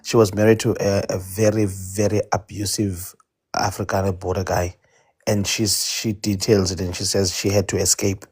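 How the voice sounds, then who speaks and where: A man with a fairly low voice tells a story casually. He rambles, hesitates and restarts his sentences often. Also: level tone, moderate pace, a little mellow, breathy edge. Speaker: debate participant.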